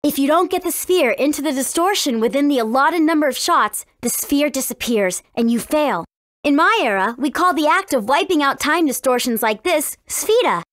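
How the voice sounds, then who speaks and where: A woman voicing a brave, friendly teenage warrior princess in a video game, with an earnest, clearly spoken manner. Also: high-pitched, animated, moderate pace, a bit bright, no room sound, studio quality. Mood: explaining, earnest. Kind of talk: scripted video game character dialogue.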